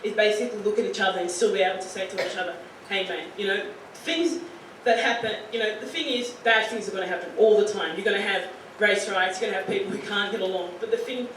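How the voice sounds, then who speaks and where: A woman's voice: medium pitch, quick pace, hardly any pauses, a bit bright. A young Australian woman in her late teens talks earnestly to a room of students, her speech loose and full of "you know" and "like." She is heard from a distance in a very echoey room. A faint hiss sits underneath. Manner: earnest, chatty, with many "you know" and "like" fillers. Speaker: guest speaker to high school students. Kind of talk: informal talk to a student audience.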